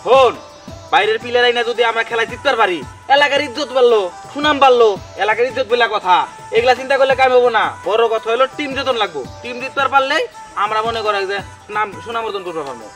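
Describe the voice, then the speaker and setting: An actor playing a warm-hearted, eager village friend in a drama, with a rural Bangladeshi accent. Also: male voice, very high-pitched, fast talker, faint music behind. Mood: excited. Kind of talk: Bangla short-film drama acting.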